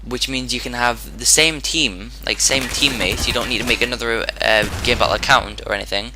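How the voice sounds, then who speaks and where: A man with a hoarse, sore-throated voice giving casual commentary over his own gameplay, rambling and often restarting his sentences. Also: medium pitch, normal speed, noisy background. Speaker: gaming commentator.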